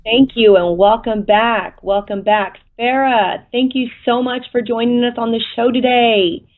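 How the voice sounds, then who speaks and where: A woman hosting an interview show over a video call, effusive and quick in her chat with the guest. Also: on the high side, steady tone. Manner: effusive, fast-talking. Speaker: show host. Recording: tinny phone-like line.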